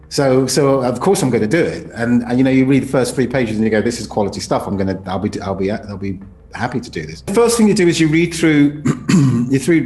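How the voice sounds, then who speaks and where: A man's voice: mid-range voice, darkish tone, reverberant. A male voice actor talking casually in an interview about his craft. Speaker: voice actor, narrator.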